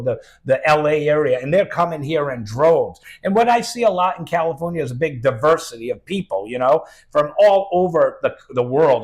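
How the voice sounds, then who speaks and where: Chatty man with a New England accent. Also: on the high side. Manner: chatty, opinionated, rambling with asides. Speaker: financial advisor guest. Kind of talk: casual interview talk. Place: Sacramento-area.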